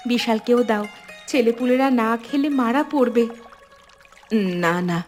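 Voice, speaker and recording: A woman's voice: a little high, measured pace, darkish tone, natural voice. A woman playing a kindly village wife in a cartoon, speaking in an earnest, emotional way. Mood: tender, apologetic. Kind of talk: dramatic cartoon dialogue. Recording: music bed under the voice.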